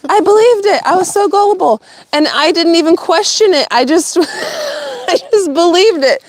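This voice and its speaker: A middle-aged woman from California hosting a livestream, telling a rambling family story in a casual, animated way and often breaking into laughter. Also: very high-pitched, moderate pace, slight background noise. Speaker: channel host.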